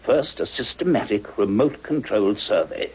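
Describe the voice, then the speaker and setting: A man acting a thoughtful, learned scientist in an old radio science fiction drama, speaking in a grave, measured, scholarly way. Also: on the low side, animated, a little mellow, slightly rough. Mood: grave wonder. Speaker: lead actor and first-person narrator. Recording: muffled, dull old radio recording.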